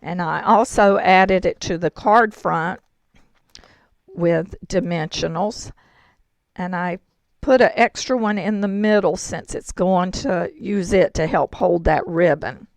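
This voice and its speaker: A woman with a hoarse voice, explaining a card-making project step by step in a chatty way. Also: lowish voice, slow, no echo. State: hoarse, throat-clearing. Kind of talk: crafting tutorial.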